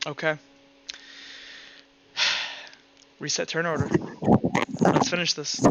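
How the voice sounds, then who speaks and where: Bright-voiced, blunt male game master. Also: a little high, flat delivery, takes it slowly, creaky, rough recording. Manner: blunt, swears casually. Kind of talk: casual tabletop roleplay session talk. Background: faint music.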